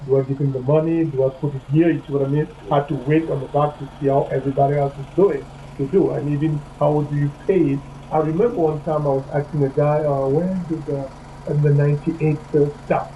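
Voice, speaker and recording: A man from Cameroon, telling his own story in a casual interview, halting and often checking in with the listener. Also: fairly high pitch, fairly even intonation, slight background noise. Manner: halting, leans on "you know what I mean". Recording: dull lo-fi sound with faint music.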